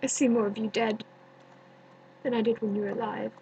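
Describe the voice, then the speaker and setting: A woman performing a dramatic stage monologue as a bitter, grieving young woman, deliberate and accusing, with many pauses. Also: on the high side, level tone, background noise, natural voice. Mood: bitter, mocking. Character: bitter grieving young woman haunted by her dead cousin.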